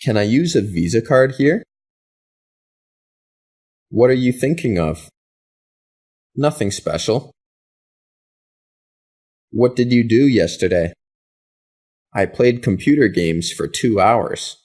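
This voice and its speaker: A man reading practice questions and answers for an English lesson in a slow, deliberate way. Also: medium pitch, expressive, darkish tone, slightly rough.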